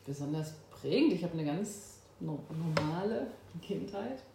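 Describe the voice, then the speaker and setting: A woman in her fifties from Berlin, a guest in an interview, who talks thoughtfully with pauses and fillers. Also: sits low, expressive, creaky, background noise. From Berlin, Germany. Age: 50s. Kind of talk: oral history interview. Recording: echoey room.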